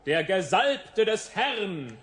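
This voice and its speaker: A man's voice: high-pitched, very animated, drawn-out words, heavy background noise, exaggerated voice. A man playing a fanatical, solemn biblical prophet, declaiming wrathfully in a radio play. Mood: wrathful, proclaiming. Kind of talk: theatrical radio drama declamation.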